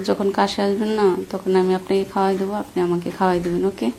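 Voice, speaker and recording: A Bangladeshi woman talks quickly in a soft, mellow voice. She is coy and teasing, keeping up a flirtatious, casual chat over a recorded phone call. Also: medium pitch, flat delivery, gravelly, a little hiss or hum.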